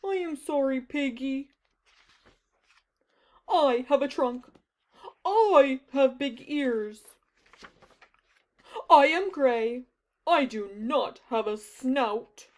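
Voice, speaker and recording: A woman voicing a gentle, worried male elephant in a storybook read-aloud, speaking earnestly and plaintively. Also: sits high, drawn-out words, pauses often. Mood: unsure.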